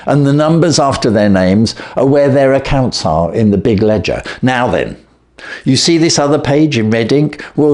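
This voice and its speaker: A man voicing a surly, cocksure Victorian London poultry salesman in a storybook reading, gruff and blustering. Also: mid-range voice, darkish tone, close to an everyday voice. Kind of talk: storybook character voice.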